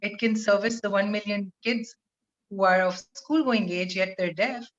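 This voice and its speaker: A woman, explaining her school program in a steady, articulate video call interview from a room at home. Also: medium pitch, normal speed. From Pakistan. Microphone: webcam on video call. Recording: muffled video call audio.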